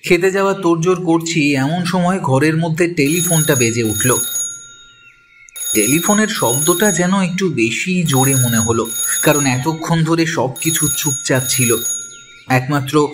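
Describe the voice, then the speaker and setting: A man's voice: a little high, measured pace, noisy background, close to an everyday voice. A man playing a detective's curious companion, telling the story in the first person in an audio drama. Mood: measured narration. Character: detective's male companion narrating, curious and sceptical.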